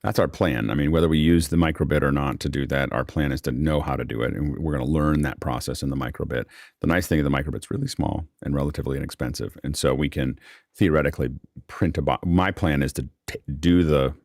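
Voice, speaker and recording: Very deep, creaky-voiced male host. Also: steady tone, fast talker, darkish tone, dry recording, clean studio sound. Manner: informal, explanatory. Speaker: host and moderator of a panel. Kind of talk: casual tech Q&A discussion.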